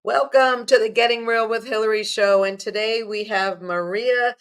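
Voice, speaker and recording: A woman's voice: a little high, fairly even intonation, normal speed, music in the background. A woman hosting an interview podcast, chatty, stacking up several questions at once. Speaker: podcast host. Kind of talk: casual interview.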